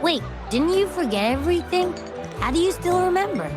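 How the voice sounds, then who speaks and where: A woman voicing a tiny, hungry, childlike game creature with a cutesy, whiny, excitable and dramatic delivery. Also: very high-pitched. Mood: eager, demanding. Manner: dramatic, pleading, drawn-out words. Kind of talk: video game voice acting. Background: music.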